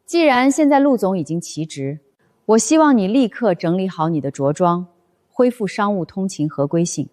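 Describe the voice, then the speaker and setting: A woman voicing an icy, rule-bound corporate executive in an animated office drama, coldly formal and admonishing. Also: medium pitch, normal speed, natural voice.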